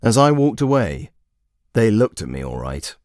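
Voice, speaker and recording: A man's voice: on the low side, very animated, moderate pace, darkish tone, no echo, clean studio sound. A man voicing a smug, pedantic, self-admiring traveller in a scripted comic audio monologue, pompous and precise. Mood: self-satisfied.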